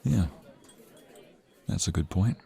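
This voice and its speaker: A man's voice: sits low, lively intonation, slow pace, vocal fry, mellow, exaggerated voice. A man playing a protective mobster's son in an ASMR roleplay drama, intimate and earnest, able to turn menacing. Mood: tender, worried. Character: protective young mobster's son, tender lover, menacing when threatened.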